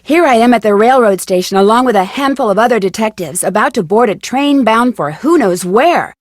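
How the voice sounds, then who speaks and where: A woman's voice: a little high, lively intonation, fairly quick, no room sound, clean studio sound. A woman playing a plucky, wry young amateur detective, chattily narrating her letters in a video game. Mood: bright anticipation. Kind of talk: scripted game narration, reading letters aloud.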